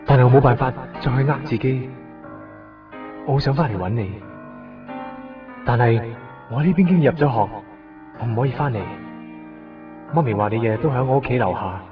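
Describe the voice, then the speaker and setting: A man's voice: mid-range voice, close to an everyday voice. A lovesick young Hong Kong Cantonese man played by a male actor confesses his longing in a radio drama. His voice is earnest and remorseful, slightly far from the mic, dull in tone, with prominent music behind him. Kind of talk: radio drama monologue, letter read as voice-over.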